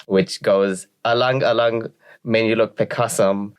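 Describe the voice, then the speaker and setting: A man hosting a podcast, reading a disclaimer and introducing his guest in a friendly, slightly halting way. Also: fairly low pitch, steady tone, clear, resonant tone. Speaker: podcast host.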